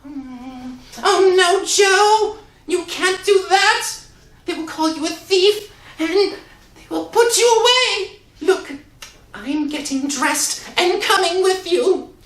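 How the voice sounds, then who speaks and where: An extremely high-pitched, anxious wife in an old radio thriller. She speaks slowly and plaintively, devoted and pleading, in an echoey room. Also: fairly bright tone, exaggerated voice.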